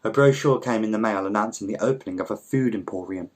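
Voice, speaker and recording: A man reading literary fiction aloud as a measured storyteller, with slight stumbles. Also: on the low side. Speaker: volunteer story reader.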